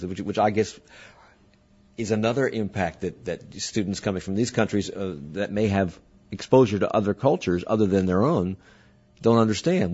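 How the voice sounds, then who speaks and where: A man hosting a radio talk show, asking slow, deliberate questions and reading listener comments. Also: fairly low pitch, darkish tone, breathy edge, lo-fi sound. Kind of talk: interview discussion.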